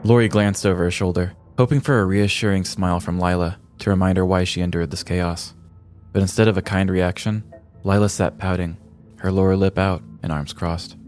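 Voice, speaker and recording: An American man reading a scripted comedic story in a deliberate, theatrical storyteller's manner. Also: low voice, fairly even intonation, darkish tone, faint music behind. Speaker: podcast host telling a story.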